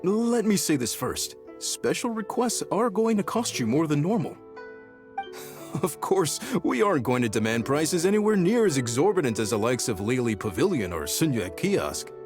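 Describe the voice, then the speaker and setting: A proud, welcoming restaurant chef in a game. His voice sits a little high and moves lively up and down as he talks up his cooking. Soft game music plays behind. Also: normal speed. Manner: hospitable, proud, chuckling.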